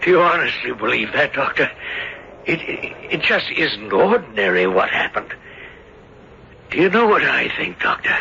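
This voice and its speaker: A man's voice: medium pitch, sing-song, moderate pace, vocal fry, bright, forceful tone, heavily acted. A man acting in an old-time radio drama as an anxious, bewildered middle-aged father who stammers in agitation. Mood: fearful, convinced. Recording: dull, hissy old radio recording.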